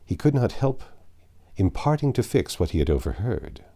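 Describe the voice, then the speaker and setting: A man reading a classic adventure novel aloud as an expressive narrator. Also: lowish voice, moderate pace. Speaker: audiobook narrator.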